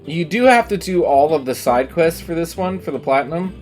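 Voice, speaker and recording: A man's voice: on the high side, lively intonation, brisk, darkish tone, soft background music. A man chatting casually and emphatically on a livestream while playing a game, giving opinions with some swearing. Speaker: game streamer. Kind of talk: casual livestream chat over gameplay.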